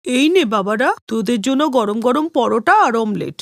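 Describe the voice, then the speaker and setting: Woman as a lively, high-pitched cartoon mother. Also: creaky, no echo, clean studio sound. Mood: fond, bustling. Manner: fond, brisk, chiding. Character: middle-aged village mother, fond, bustling, mildly scolding homemaker.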